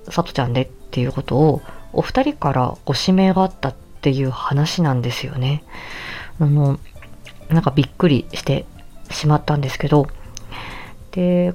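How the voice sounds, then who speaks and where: 低めで明るい声の女性の気さくな一人語り. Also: female voice, deep voice, warm tone. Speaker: podcast host and amateur voice actor. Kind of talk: casual solo podcast talk.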